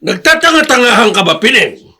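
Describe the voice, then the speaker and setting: A man playing a stingy, bitter old man in a radio drama, scolding with a harsh, sharp tongue. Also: very high voice, big pitch swings, fairly quick, husky, heavily acted. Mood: bitter anger. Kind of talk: Filipino radio drama acting.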